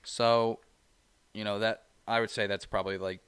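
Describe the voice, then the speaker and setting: A man with a low, smooth, resonant voice talks in a loose, rambling way, leaning on "you know" between thoughts. His tone is bright and forward. The sound is dry and close, with no room echo. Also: flat delivery, normal speed. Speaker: podcast co-host. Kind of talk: casual chat.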